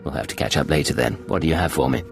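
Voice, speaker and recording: Low-voiced, brisk secret agent. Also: male voice, vocal fry, music in the background. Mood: dry, skeptical. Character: cool, skeptical male secret agent. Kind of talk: video game voice acting.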